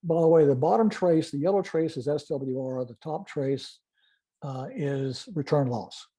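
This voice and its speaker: An older man giving an informal technical presentation and demonstration, explaining methodically in a halting way. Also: on the high side, warm tone. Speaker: club presenter, retired-style veteran telephony engineer.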